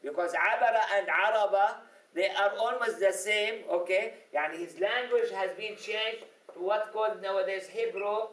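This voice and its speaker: A middle-aged man whose first language is Arabic teaches a class, pausing often to ask "okay?". His voice sits high for a man. The sound is roomy and a bit distant, as if picked up from across the classroom. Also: a bit bright. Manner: explanatory, frequent "okay?" and "yani" checks. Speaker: religious teacher. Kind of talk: classroom lecture. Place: classroom with whiteboard. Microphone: lapel mic. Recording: echoey room, from a distance.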